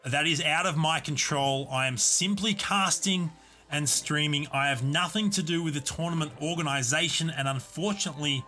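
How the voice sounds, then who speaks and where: A man casting a live online game tournament, giving steady, explanatory play-by-play. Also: a little high, normal speed. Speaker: esports caster and streamer. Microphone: desk USB condenser mic.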